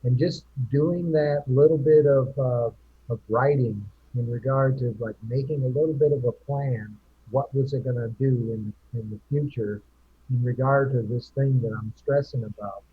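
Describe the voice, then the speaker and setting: A man's voice: mid-range voice, normal speed, husky, narrow, tinny audio. A man hosting a casual group chat show, rambling with many restarts. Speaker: podcast host.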